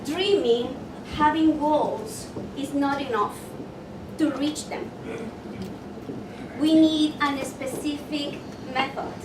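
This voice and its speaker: High-voiced woman leading a workshop. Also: steady tone. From Ecuador. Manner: slow and deliberate, asks the audience questions. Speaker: workshop presenter. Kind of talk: motivational workshop talk. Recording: echoey room, mic far off, noisy.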